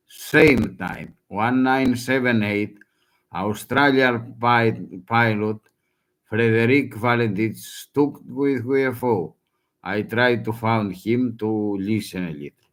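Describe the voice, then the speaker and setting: A man whose first language is Greek, speaking broken English in a halting, urgent warning with many restarts. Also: lowish voice. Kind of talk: halting self-recorded warning.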